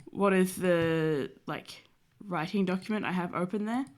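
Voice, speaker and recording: A woman's voice: on the low side, measured pace, darkish tone. A young woman streamer, nasal and stuffy from a cold, chatting casually and rambling with many fillers as she draws. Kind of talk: casual chat while drawing.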